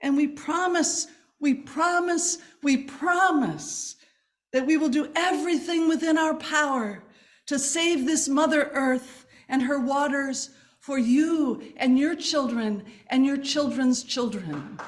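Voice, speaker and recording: Slow, earnest woman speaking in church. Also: sits high. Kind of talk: prepared talk.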